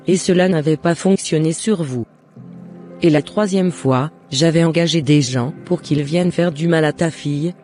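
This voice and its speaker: Man voicing a high-pitched jealous neighbour woman. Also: a little mellow, close to an everyday voice. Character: jealous, scheming woman neighbour turned remorseful.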